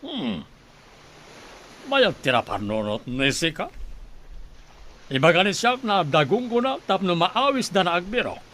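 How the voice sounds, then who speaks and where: A man's voice: high-pitched, sing-song, measured pace, pauses often, fairly bright tone, exaggerated voice. A man playing a domineering rich father in an audio drama, with a blustering, commanding manner. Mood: grudging, commanding. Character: domineering rich father, a gruff island boss.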